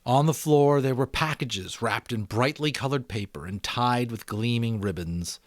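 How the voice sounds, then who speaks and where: A man reading a novel aloud as dramatic narration, with expressive, deliberate phrasing and pauses. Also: mid-range voice, no echo. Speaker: amateur audiobook narrator.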